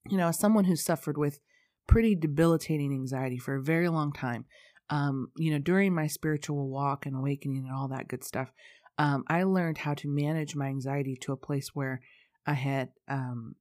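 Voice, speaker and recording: A woman's voice: low-pitched, moderate pace, darkish tone, no echo, studio quality. A woman hosting a reflective solo podcast, earnest and self-deprecating, who laughs often and sounds congested. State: stuffy, congested. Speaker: podcast host.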